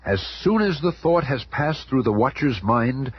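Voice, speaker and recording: A man's voice: medium pitch, lively intonation, a little slow, a little hiss or hum, natural voice, poor audio. A man narrating a 1970s superhero radio serial as a dramatic comic-book storyteller. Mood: suspenseful. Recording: loud music bed, old radio show.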